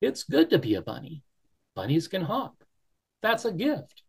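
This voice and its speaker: A man's voice: huge pitch swings, measured pace, creaky, slightly rough, exaggerated voice. A man doing a tearful little bunny. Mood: hopeful. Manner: high, pleading and sniffling. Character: small lonely tearful young bunny.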